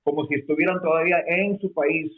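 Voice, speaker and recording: Man from peru, guest, high-ish voice, tinny phone sound, lots of ums and restarts. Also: fairly even intonation, a little fast. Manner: hesitant, many fillers and restarts. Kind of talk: conversational explanation.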